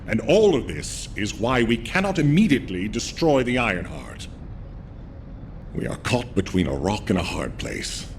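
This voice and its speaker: An actor playing a grave, burdened military commander in a video game, speaking in a measured, solemn, authoritative way. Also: male voice, lowish voice, sing-song, creaky, a little hiss or hum. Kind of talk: scripted video game dialogue.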